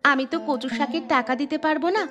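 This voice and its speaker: Woman doing poor village mom, high voice, pleading and caring, cartoon story with music. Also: fairly even intonation, quick pace, lightly acted. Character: poor, gentle, worried young village mother.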